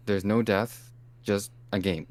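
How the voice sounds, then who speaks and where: A man playing a mild, hesitant angel, a calm peacemaker in improvised comedy, speaking haltingly as he searches for words. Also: lowish voice. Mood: tentative. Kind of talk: improvised comic role-play.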